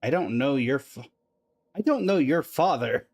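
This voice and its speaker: A woman's voice: a bass voice, lively intonation, unhurried, darkish tone. A woman livestreaming a game, chatting casually and greeting viewers in a wry, offhand way. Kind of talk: casual live commentary and chat greetings.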